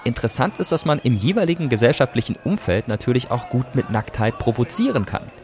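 A man's voice: mid-range voice, big pitch swings, fast talker, a little mellow. A man hosting a podcast, reading scripted narration in a conversational way that addresses listeners directly. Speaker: podcast host.

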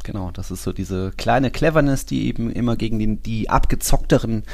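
A man's voice: on the low side, brisk, gravelly, a little mellow, a little hiss or hum. A man hosting a football podcast, talking conversationally in long, self-interrupting runs. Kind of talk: conversational sports discussion.

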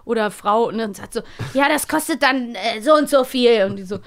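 A woman co-hosting a podcast, chatting casually and retelling things in an animated way. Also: a little high, a little fast. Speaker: podcast co-host. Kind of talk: casual chat about children's audio plays.

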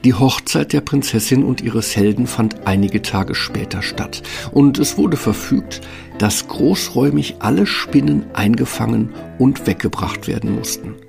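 A man's voice: medium pitch, measured pace, vocal fry. A man narrating a fairy tale as a scripted storyteller. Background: music.

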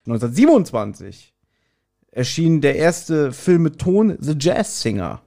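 Guy podcast host, voice a bit on the high side, chatting and retelling stuff casually. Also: sing-song, unhurried, vocal fry, mellow. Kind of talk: casual audio drama review chat.

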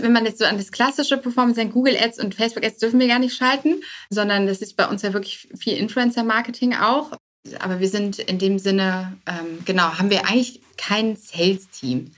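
Female podcast guest, founder type, medium pitch, conversational, halting and self-correcting delivery. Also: a bit bright. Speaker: interview guest, start-up co-founder.

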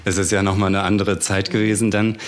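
Low-voiced calm podcast host. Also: male voice, quick pace, clean tone. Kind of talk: podcast interview.

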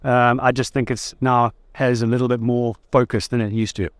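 A South African man with a smooth, clear voice of middle pitch, answering questions at a trade fair stand. He sounds easygoing and thoughtful, with the odd light joke. The sound is close and dry. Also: flat delivery, moderate pace, no room sound. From South Africa (Durban). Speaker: guest. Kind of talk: conversational interview.

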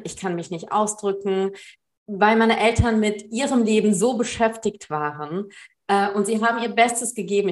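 A woman from Germany, a trainer, telling her personal story as an interview guest. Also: mid-range voice.

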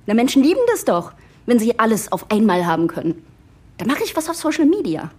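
An enthusiastic, teasing female colleague in an office radio play. Her voice is fairly high and a bit breathy, with bouncy, swooping pitch and a gushing manner. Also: big pitch swings, fairly quick, darkish tone. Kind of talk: German audio drama acting.

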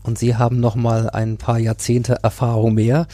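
A man in his forties hosting a conversational podcast interview, feeling his way through halting, circling phrases. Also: mid-range voice, monotone, mellow, dry recording. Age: 40s.

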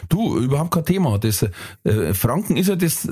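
A Bavarian man, a comedian co-hosting a podcast, telling stories in relaxed casual chat. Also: fairly high pitch, moderate pace, husky.

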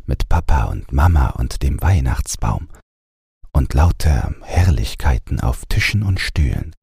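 A man reading a scripted bedtime audiobook in a calm storytelling style. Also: extremely low pitch, measured pace, gravelly, slightly rough, no echo, clean studio sound. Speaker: audiobook narrator.

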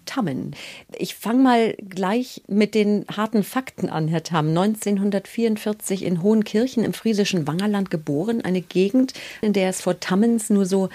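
A woman's voice: mid-range voice, normal speed, warm tone. A woman hosting a literary interview podcast, speaking in an articulate, courteous way. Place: indoors, in a writer's study.

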